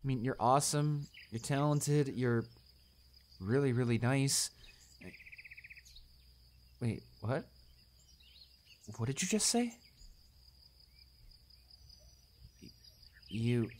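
A man's voice: medium pitch, a little mellow, slight background noise. A man playing a shy, stammering male student. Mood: nervous, earnest, then bashful. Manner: stammering, hesitant, apologetic. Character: shy, flustered, kind-hearted male student classmate. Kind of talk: romantic audio roleplay acting.

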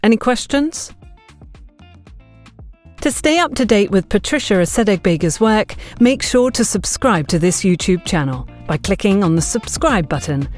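A woman's voice: medium pitch, lively intonation, moderate pace, few pauses, a little mellow, soft background music. A woman working as an audiobook narrator, reading scripted story narration.

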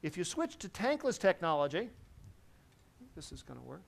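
A middle-aged man giving a technical lecture to a seated audience in a large conference hall, lively and constantly checking in with the listeners. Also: on the high side, moderate pace, vocal fry. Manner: lively, quizzes the audience with frequent "right?". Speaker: presenter at a technical symposium.

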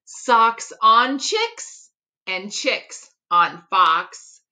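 A middle-aged woman reads a picture book to children. Her voice is bright and fairly high, and she goes very slowly, shaping each tricky phrase with care. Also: big pitch swings. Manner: deliberate, carefully articulated tongue twisters. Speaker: teacher reading aloud. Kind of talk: expressive children's picture book read-aloud. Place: living room at home.

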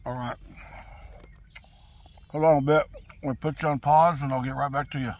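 A man's voice: mid-range voice, steady tone, normal speed, a little mellow. A middle-aged man, talking casually to the camera while cooking at a campsite, rambling with many fillers and restarts. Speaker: camping vlogger. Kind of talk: casual outdoor cooking vlog. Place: campsite outdoors on open grass. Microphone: camera on a table nearby.

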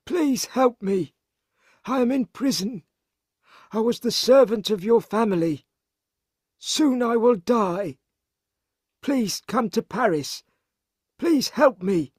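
A man voicing a frightened male servant pleading from prison in drawn-out appeals, in an audiobook. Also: very high voice, expressive, husky, no room sound, studio quality, voice pushed up, exaggerated voice. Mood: desperate pleading.

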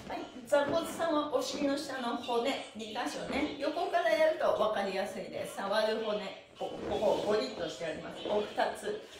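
A woman's voice: high voice, noisy background. A middle-aged woman hosting a live stretching class, giving cheerful, encouraging calls and friendly chat with playful polite wording. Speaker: stretching instructor and livestream host. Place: small bare indoor room with wooden floor. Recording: echoey room with background music. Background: music.

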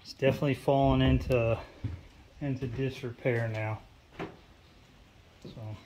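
A man's voice: medium pitch, steady tone, measured pace, warm tone. A man narrating a casual, off-the-cuff walk-through inside a small empty house, musing hesitantly into a handheld phone. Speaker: urban exploration vlogger. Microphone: handheld phone behind the camera. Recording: noisy handheld recording with clicks.

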